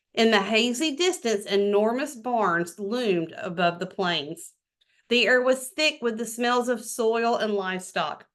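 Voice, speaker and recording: High-voiced woman reading to kids. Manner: expressive, enthusiastic, addressing young listeners directly. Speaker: host of a children's book read-aloud show. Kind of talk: reading a children's novel aloud with casual chatty asides.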